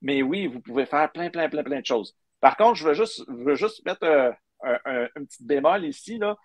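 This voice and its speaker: A man's voice: on the high side, expressive, moderate pace, no room sound. A middle-aged man with a Quebec French accent, explaining things informally as a guest in a live video-call interview. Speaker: guest, maker of digital book bookmarks. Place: indoor room, on a video call. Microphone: webcam video call.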